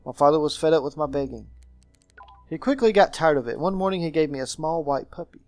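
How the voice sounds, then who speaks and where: A man's voice: a little high, animated, a little fast, a little mellow, dry recording, soft background music, close to an everyday voice. Melancholy game guardian, acted by a man, formal and brooding, dry close recording. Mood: regretful. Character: melancholy, haunted gentleman guardian.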